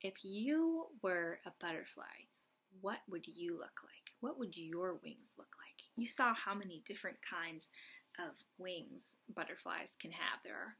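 A young adult woman reading aloud and explaining gently, as a teacher does for young children. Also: lowish voice, animated, unhurried, husky. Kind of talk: children's read-aloud and lesson. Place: small lamp-lit room at home, indoors.

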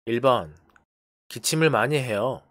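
A teacher giving a language lesson, briskly prompting numbered phrases in turn. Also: medium pitch, fairly bright tone, dry recording, studio quality. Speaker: English teacher.

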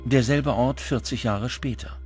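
Guy doing documentary voiceover, medium pitch, measured n dramatic, music behind him. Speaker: documentary narrator. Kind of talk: scripted documentary narration. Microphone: studio voice-over.

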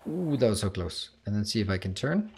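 A man's voice: lowish voice, very animated, a little fast, vocal fry, faint music behind. A man from Denmark with a Danish accent, chatting casually on a game livestream and answering chat as he plays. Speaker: streamer.

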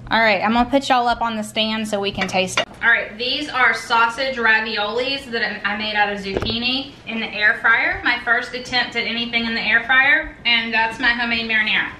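A woman's voice: fairly high pitch, steady tone, a little fast, few pauses, sharp tone, slight background noise, low-quality recording. A woman in her thirties chatting through a cook-along in her home kitchen, often calling the viewers y'all. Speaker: cooking vlogger. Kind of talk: casual cook-along narration.

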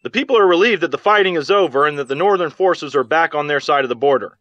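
An earnest male war correspondent reports in a measured, serious way for a game's newscast. His voice is high, and the sound is dry and slightly dull. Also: expressive, fairly bright tone, no room sound. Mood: serious, concerned. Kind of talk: TV field report in a game cutscene. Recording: slightly dull, muffled audio.

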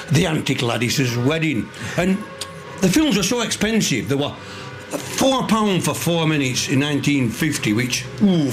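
An older man with a South Yorkshire accent, a loud and jovial guest chatting in a radio interview. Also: fairly high pitch. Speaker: guest, songwriter and filmmaker. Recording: music playing behind.

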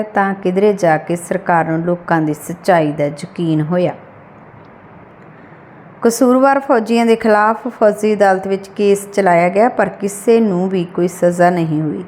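A woman's voice: mid-range voice, darkish tone, a little hiss or hum. A woman reading a scripted short story aloud as an audiobook narrator.

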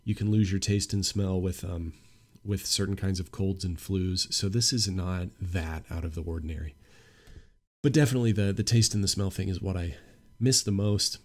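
A young man hosting a solo podcast with a stuffy nose, talking earnestly and growing emphatic. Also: lowish voice. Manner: earnest, opinionated, grows emphatic. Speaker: podcast host.

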